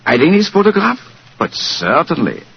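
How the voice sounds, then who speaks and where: A man's voice: a little high, wildly swooping pitch, unhurried, vocal fry, slight background noise, exaggerated voice. An actor playing an anxious, formal king with a hesitant, stately manner in a 1940s radio drama. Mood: relieved, grateful. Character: anxious, formal, grateful king, a regal man. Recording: dull vintage radio recording.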